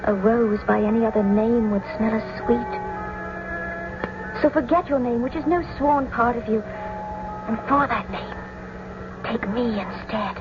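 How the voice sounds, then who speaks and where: Woman acting young lovesick noble girl, high pleading voice, old radio drama, muffled recording. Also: unhurried, long pauses, music in the background, lightly acted. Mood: yearning. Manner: romantic, pleading, poetic delivery. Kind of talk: classical stage-style radio drama acting.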